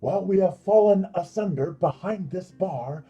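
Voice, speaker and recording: A man's voice: sits high, normal speed, warm tone, breathy edge, lo-fi sound. A man playing a pompous, bumbling tavern drunk in a fantasy comedy audio drama, with florid, theatrical mock-archaic speech. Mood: bewildered.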